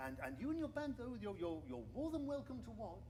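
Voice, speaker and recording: A man's voice: very high voice, sing-song, fast talker, warm tone, slight background noise. A man playing an anxious, sceptical 1920s research engineer in a radio play, hesitant and stammering as he talks. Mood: hesitant, explaining. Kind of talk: period radio drama acting.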